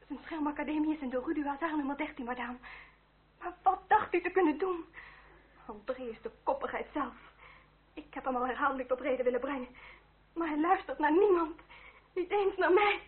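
A woman's voice: high-pitched, brisk, close to an everyday voice. An actress playing an earnest, tender young French noblewoman, polite and easily distressed, in a period radio drama. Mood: earnest, upset. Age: young adult. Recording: tinny old radio play recording.

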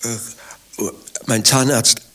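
A man's voice: medium pitch, level tone, slow pace, fairly bright tone. An actor playing an edgy, secretive and irritated man in a satirical radio drama, speaking in a tense, defensive, clipped way. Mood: defensive, pleading. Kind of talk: dialogue-driven satirical radio drama acting.

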